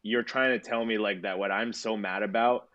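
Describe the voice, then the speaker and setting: A man's voice: fairly low pitch, steady tone, moderate pace, dull audio, over a noisy crowd. A man in his twenties co-hosting a podcast, telling a long story in casual chat with lots of swearing and filler words. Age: 20s. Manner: rambling, swears a lot, many fillers. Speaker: podcast co-host.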